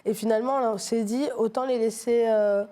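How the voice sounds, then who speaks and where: A woman's voice: on the high side, fairly even intonation, brisk, mellow. A middle-aged woman from Martinique, a street artist talking casually in an interview, hesitant, with many fillers and restarts.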